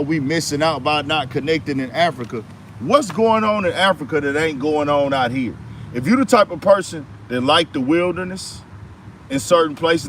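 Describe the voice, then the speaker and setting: A man's voice: a little high, a bit bright, slight background noise. An American man delivering an emphatic political commentary monologue in slangy speech, firing off rhetorical questions. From United States. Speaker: commentary host.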